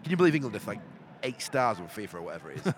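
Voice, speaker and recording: A man's voice: on the low side, big pitch swings, very fast. A man with a Yorkshire accent giving irreverent, jokey, colloquial banter as he commentates on live football. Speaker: football commentator and podcast host. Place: outdoors at a football ground. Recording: clear outdoor background noise.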